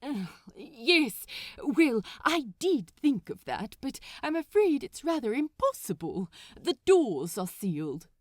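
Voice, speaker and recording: A woman voicing a polite, prim, anxious gentleman, hesitant and flustered, in a storybook reading. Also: high-pitched, sing-song, takes it slowly, few pauses, no room sound, clean studio sound, pitched above the performer's own voice, exaggerated voice. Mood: flustered, pleading. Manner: hesitant, pleading, proper. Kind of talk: fan fiction audiobook character voice.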